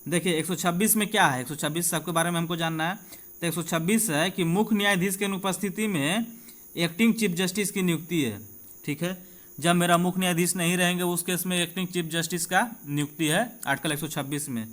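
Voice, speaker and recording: A man's voice: sits high, fast, a bit bright. A man with a Bihari accent teaching an informal exam-prep lesson, reading out quiz questions and explaining answers, often checking in with his students in a conversational way. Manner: conversational, addresses students directly with repeated check-ins.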